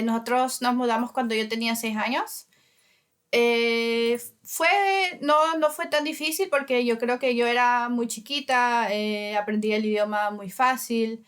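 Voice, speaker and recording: A woman's voice: a little high, a bit bright, clear, resonant tone. A woman from Ecuador in her thirties, speaking hesitantly with many fillers as an interview guest. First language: Spanish. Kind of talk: casual interview.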